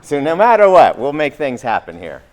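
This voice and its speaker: A man emceeing a chamber of commerce event, joking about his loud voice and introducing speakers. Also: fairly high pitch, wildly swooping pitch, moderate pace, slight background noise. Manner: loud, playful, self-aware emcee. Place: event room at a college.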